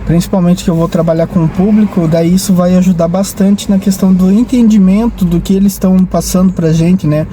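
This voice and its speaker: A man's voice: high voice, fairly even intonation, brisk, few pauses, warm tone. A man, vlogging casually in a rambling, hesitant way full of restarts and tag questions. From Brazil. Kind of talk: casual vlog talk. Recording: noisy, with traffic behind.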